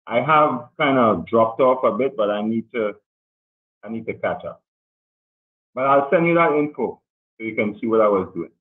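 Man, muffled phone-like audio, medium pitch, casual explanation, call participant. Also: animated, moderate pace, pauses often. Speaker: participant in an online class. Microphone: video call.

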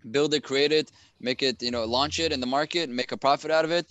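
Fast-talking male podcast host. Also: mid-range voice, steady tone, a bit bright, dry recording. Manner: rapid, summarizing and rephrasing guest's points. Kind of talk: conversational interview hosting. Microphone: headset.

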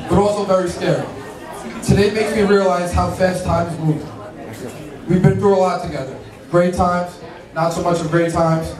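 A man, nervously and informally delivering a wedding toast as best man. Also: high-pitched. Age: 20s. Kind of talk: prepared wedding toast with casual joking asides. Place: large crowded indoor wedding reception venue. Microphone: handheld mic into PA, picked up by distant camera. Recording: echoey hall from far off, loud crowd.

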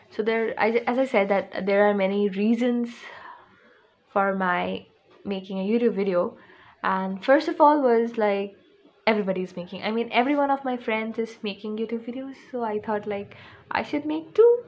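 A woman's voice: mid-range voice, a little hiss or hum. A teenage girl with an Indian English accent chatting into her phone camera for her first vlog, rambling with lots of fillers and restarts, indoors in a small room at home. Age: teens. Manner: rambling, chatty, with many fillers and self-corrections. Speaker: vlogger. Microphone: phone camera held close.

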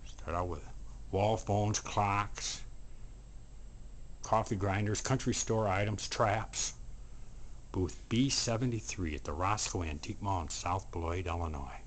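A man narrating a promotional walkthrough from behind a handheld camera indoors, listing items in short, unhurried, repetitive phrases. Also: low-pitched. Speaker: antique mall booth presenter. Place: indoor antique mall showroom. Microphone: handheld camera, operator speaking from behind it. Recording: steady background room noise.

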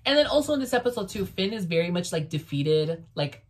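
A woman's voice: lowish voice, sing-song, fairly quick. A woman whose first language is Spanish, chatting casually and outspokenly in a TV review vlog from her bedroom, filmed by a camera on the desk. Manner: sassy, outspoken, lots of fillers. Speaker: vlogger reviewing a TV series. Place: bedroom, indoors.

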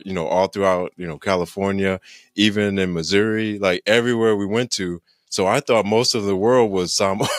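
A man's voice: deep voice, dry recording. A man in his thirties, a podcast guest talking casually about growing up, rambling and laughing often, on a studio mic at home over a video call. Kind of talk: casual interview chat. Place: home room, indoors. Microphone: studio mic with headphones, video call.

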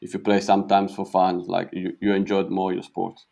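A young Italian man with a slight South African tinge, a pro golfer answering interview questions in halting English that repeats words. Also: sits low, completely flat delivery, vocal fry, dark tone. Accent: Italian, with a touch of South African. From Italy.

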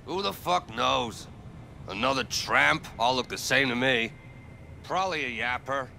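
Gravelly, forceful bullying father. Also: medium pitch, measured pace, slight background noise, full character voice. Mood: scornful. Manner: gruff, barking, profane. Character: foul-mouthed, bullying, hard-drinking father. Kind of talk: gritty video game acting.

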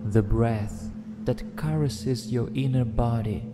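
A man narrating a guided meditation in a gentle, soothing, deliberate way, leaving long pauses between phrases. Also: medium pitch. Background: meditation music with singing bowls.

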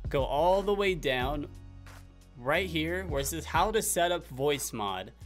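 A young man with a slightly high voice presents an online tutorial. He addresses viewers directly and walks through instructions step by step in an upbeat scripted delivery. Light music plays behind him. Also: fairly bright tone. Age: young adult. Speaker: tutorial video host. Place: small indoor room at a desk. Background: faint background music.

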